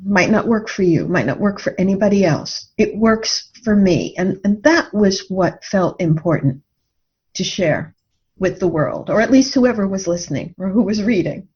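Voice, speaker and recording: A woman's voice: mid-range voice, expressive, normal speed, a little mellow, dull audio. A woman guest telling personal stories in a reflective, conversational way, animatedly acting out remembered dialogue. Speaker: guest, author and radio drama writer. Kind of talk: reflective conversational interview storytelling.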